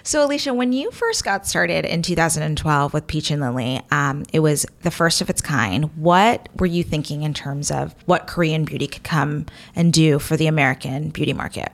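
A woman's voice: sits low, moderate pace, gravelly, hardly any pauses, no room sound. A woman hosting a podcast, reading a polished, upbeat introduction and then asking the guest questions. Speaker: podcast host, beauty editor.

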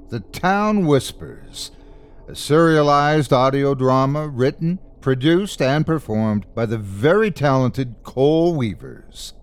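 A man hosting a horror podcast, reading a scripted introduction with theatrical, deliberate emphasis. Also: mid-range voice. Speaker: podcast host.

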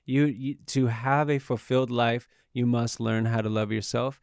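An earnest man, a tantra teacher and podcast guest, calmly explaining his ideas. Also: medium pitch, moderate pace, gravelly, smooth, no room sound, clean studio sound. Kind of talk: calm explanatory interview talk.

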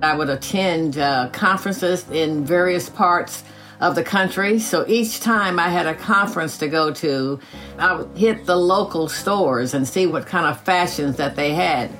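Eighty-something woman, low measured voice. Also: faint music behind. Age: 80s. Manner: gracious, measured, laughs softly. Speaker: retired teacher. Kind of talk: reflective personal storytelling.

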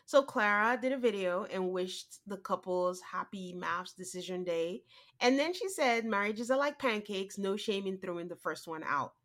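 A woman co-hosting a podcast, nasal and congested from a cold, chatting casually with quick asides and laughs. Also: medium pitch, animated, a bit bright. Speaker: podcast co-host. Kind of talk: casual chat.

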